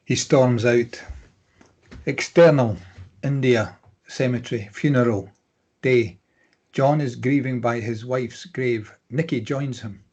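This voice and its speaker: A man with a husky voice reading stage directions as scripted narration at a table read. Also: mid-range voice, slow, pauses often, darkish tone.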